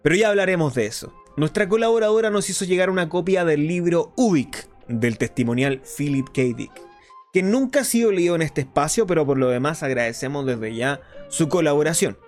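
An actor playing a flamboyant, sardonic underground radio host in a radio drama, talking in a grandiloquent, ironic, defiant way. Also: male voice, a little high, animated, a little fast, faint music behind, lightly acted. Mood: showman-like, sly. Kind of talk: theatrical radio drama monologue.

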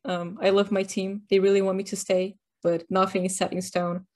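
A woman from Brazil talks casually as a podcast co-host. Her explanations are chatty and full of dry, wry jokes. Her pitch sits in an ordinary middle range. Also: level tone, moderate pace, a little mellow. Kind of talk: casual chat.

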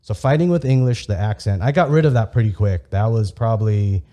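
A man's voice: fairly low pitch, fairly quick, dark tone. A young man, a native Vietnamese speaker raised in the United States, talking candidly and heatedly in a casual podcast chat, with frequent swearing.

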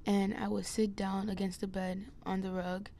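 Young woman with scratchy, creaky voice. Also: mid-range voice, level tone, normal speed, mellow. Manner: hesitant, meandering. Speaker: podcast host. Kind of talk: casual rambling solo chat. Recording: noticeable background noise.

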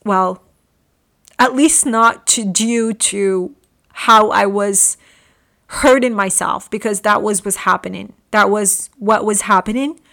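A woman from Morocco in her late twenties hosting a podcast, telling a personal story in an earnest, halting way with frequent restarts. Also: medium pitch, creaky, pushed and bright. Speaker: podcast host. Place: room at home.

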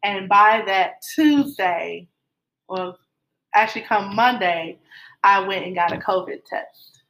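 A woman's voice: mid-range voice, slow pace, a bit bright, poor audio. A middle-aged woman casually telling her love story, rambling with many fillers and restarts.

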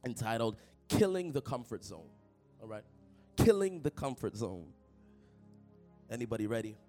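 A man's voice: medium pitch, normal speed, faint music behind. A young man preaching a sermon, telling jokey stories and calling out to the congregation. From Toronto, Canada. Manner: animated, jokey, calls out to the congregation. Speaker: pastor. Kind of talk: sermon with personal storytelling.

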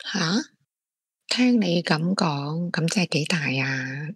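A woman's voice: sits low, lively intonation, slow, slightly rough, no echo, studio quality, exaggerated voice. An actress playing a nosy, blunt female friend in an audio drama, prying with questions and exclamations. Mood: prying, incredulous. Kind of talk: Cantonese audio drama acting.

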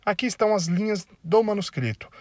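A man's voice: high-pitched, lively intonation, brisk, a little mellow. A Brazilian man hosts and narrates a casual commentary video, joking and using slang asides, and he stumbles over foreign names. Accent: Brazilian Portuguese.

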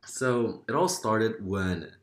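Deep-voiced teen boy from Korea. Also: slow pace, echoey room. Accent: American English. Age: teens. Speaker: podcast guest. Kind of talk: casual podcast chat.

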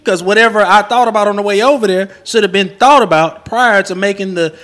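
A man's voice: high voice, fairly quick, fairly bright tone. An American man in heated casual banter, insistent and stubborn, often repeating himself.

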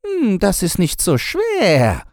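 A man's voice: sits high, wildly swooping pitch, takes it slowly, a little mellow, no room sound, clean studio sound, pitched above the performer's own voice, heavily acted. A man voicing an old woman who gives sly, knowing advice in a fairy tale audiobook. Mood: knowing, advising.

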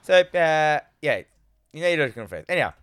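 Male co-host, low from a cold, smooth clear voice, dry close mic, swears a lot. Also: sing-song, a bit bright, no echo. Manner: swears freely, acts out characters' lines in quick voices. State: getting over a cold, voice lower than usual. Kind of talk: casual film recap chat.

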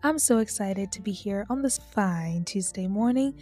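A woman's voice: mid-range voice, animated, moderate pace, mellow, soft background music. A woman hosting a casual faith podcast, talking straight to her listeners in a chatty, conversational way. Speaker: podcast host.

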